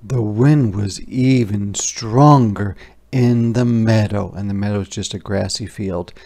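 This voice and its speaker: A read-aloud teacher narrating a picture book for young children. The voice is gravelly with fry and unhurried, expressive, stopping for simple explanations and questions. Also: mid-range voice. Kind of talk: interactive children's story narration with casual asides.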